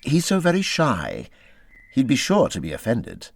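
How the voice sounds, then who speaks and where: A man's voice: medium pitch, wildly swooping pitch, unhurried, vocal fry, dry recording, voice pushed up, exaggerated voice. Man as a fussy, evasive Water Rat, wildly lilting. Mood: alarmed. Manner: evasive, fussily reassuring. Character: sociable but cautious, evasive Water Rat. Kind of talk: storybook character voice.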